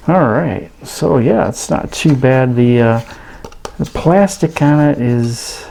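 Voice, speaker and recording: A man giving a casual product review in a halting, understated way. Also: medium pitch, creaky, warm tone. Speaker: radio reviewer. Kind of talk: casual unboxing review narration.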